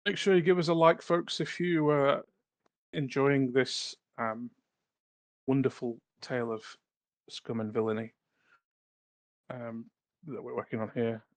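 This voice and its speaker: A man in a mid-range voice co-hosts a relaxed writing podcast. He talks in halting, rambling stretches full of ums and restarts, close on the mic in a dry, dead-sounding space. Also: mid-range voice, no echo. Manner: halting, rambling anecdotes with fillers. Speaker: podcast co-host. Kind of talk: casual writing-podcast chat.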